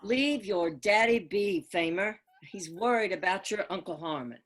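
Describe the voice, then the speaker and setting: A woman's voice: mid-range voice, lively intonation, unhurried. An actress in a radio drama playing a firm, concerned farm wife and mother. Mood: anxious, chiding.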